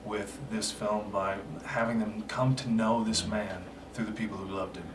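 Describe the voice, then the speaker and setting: A man from Oklahoma speaks in a measured way, with fillers, about making a documentary. His voice is fairly low and sounds a little distant, with faint noise behind it. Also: steady tone. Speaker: filmmaker guest. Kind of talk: panel interview.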